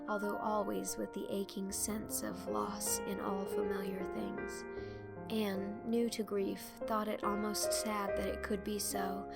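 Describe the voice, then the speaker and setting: Creaky-voiced woman narrating a storybook over music. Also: medium pitch. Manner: measured, expressive storybook reading. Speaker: audiobook narrator. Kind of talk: scripted narration of a classic novel. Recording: music under the voice. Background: instrumental music.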